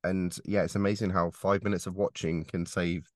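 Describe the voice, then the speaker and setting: Low-voiced man hosting a podcast. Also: little pitch movement, brisk, dry recording, clean studio sound. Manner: hesitant, many fillers and restarts. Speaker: podcast host, driving instructor trainer. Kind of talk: casual interview chat.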